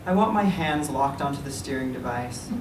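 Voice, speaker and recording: A man giving a measured, solemn reading of a literary piece before a live event. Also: medium pitch, lively intonation. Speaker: writer reading own work. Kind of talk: literary reading, dramatic monologue in verse. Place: public reading in a library room. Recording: echoey room, distant mic, clear noise.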